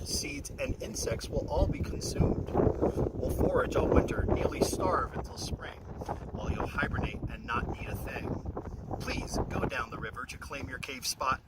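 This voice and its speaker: An indignant red squirrel from a storybook , voiced by a man, complains and pleads with swooping pitch. The recording is very noisy and off the microphone. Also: natural voice. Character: indignant red squirrel scolding a greedy bear. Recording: very noisy, distant-mic recording.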